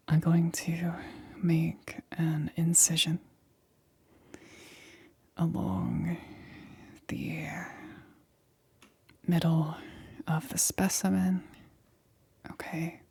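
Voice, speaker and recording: A nervous young-sounding lab assistant acted by a woman whispers through an ASMR roleplay. The voice is very breathy and stays in a narrow, even range. The delivery is halting and careful, anxiously reassuring while keeping to a clinical method. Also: sits low, heavily acted. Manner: hesitant, halting, repeats reassurances.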